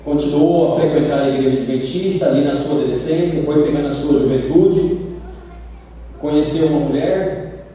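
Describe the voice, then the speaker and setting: Brazilian male preacher, high mellow voice, echoey hall, heard from afar. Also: normal speed, recorded from a distance. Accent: Brazilian Portuguese. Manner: animated storytelling, acts out quoted voices. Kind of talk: sermon. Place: church sanctuary. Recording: roomy church hall from afar.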